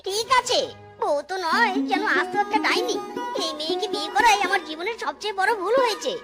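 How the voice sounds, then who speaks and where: Squeaky, whiny henpecked cartoon husband. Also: female voice, a little fast. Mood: grumbling. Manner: whiny, self-pitying. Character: weary, grumbling henpecked cartoon animal husband. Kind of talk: children's cartoon dubbing. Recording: loud children's music behind voice.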